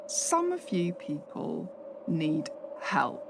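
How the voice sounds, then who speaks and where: A woman's voice: low-pitched, sing-song, extremely slow, creaky, background noise, full character voice. A woman playing a skeptical, sardonic assistant hotel manager from London in a radio play, sometimes hesitant when flustered. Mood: defensive. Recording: music behind the voice.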